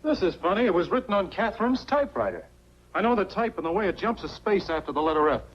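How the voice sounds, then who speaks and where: An actor playing a suave, calculating blackmailer in a 1940s film noir, with an insinuating manner. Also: male voice, high-pitched, lively intonation, quick pace. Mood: feigned reluctance. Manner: smooth, insinuating. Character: smooth scheming male blackmailer, suave and calculating. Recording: dull, faintly noisy 1940s film soundtrack.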